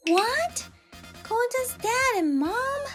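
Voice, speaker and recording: A woman's voice: very high-pitched, big pitch swings, very slow, over music, pitched above the performer's own voice, full character voice. A woman voicing a smug, taunting, fate-obsessed young female villain in a dramatized manga story. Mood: shocked. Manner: taunting, mock-innocent, theatrical.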